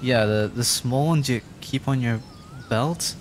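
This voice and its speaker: A man's voice: mid-range voice, measured pace, slight background noise, close to an everyday voice. A man voicing a timid, adorkable young dragon in a roleplay audio drama, stammering, hesitant and rambling. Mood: shy, curious.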